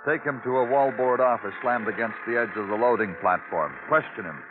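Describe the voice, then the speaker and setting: An American man playing a world-weary police detective in old radio crime drama, narrating poetically and questioning tersely in a brooding, deliberate manner. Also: medium pitch, lightly acted. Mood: somber. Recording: tinny old radio broadcast, music behind.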